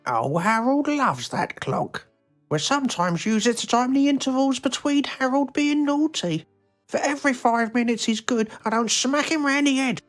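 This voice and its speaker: A woman's voice: high-pitched, moderate pace, soft background music, close to an everyday voice. An actress playing a stern, controlling mother, prim and blithely callous. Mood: prim disapproval.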